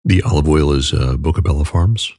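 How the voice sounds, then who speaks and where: A man hosting a podcast interview with a very low, gravelly voice, asking friendly questions. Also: fairly quick, darkish tone, no room sound, clean studio sound. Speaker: podcast host.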